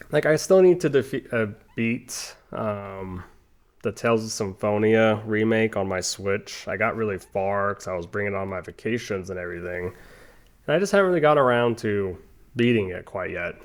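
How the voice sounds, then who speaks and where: A man giving casual, rambling commentary over a video game playthrough, chatting with playful asides. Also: on the low side. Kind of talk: casual gameplay commentary with tangents.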